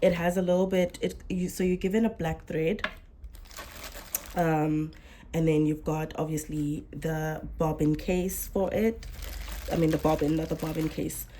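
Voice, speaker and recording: A young woman talks through a casual tutorial in a lowish, mellow voice. She sounds a little under the weather and often stops to correct herself. Faint noise and clicks sit behind her. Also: little pitch movement, a little slow. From South Africa. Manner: chatty, self-correcting explainer. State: slightly unwell, coming down with flu. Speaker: sewing channel host.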